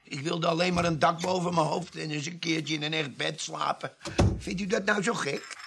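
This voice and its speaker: Gravelly, cheeky vagrant war veteran. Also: male voice, a little high, steady tone, moderate pace, a bit bright, slight background noise. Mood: earnest. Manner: cheeky, wheedling, self-pitying, hesitant. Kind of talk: radio play dialogue.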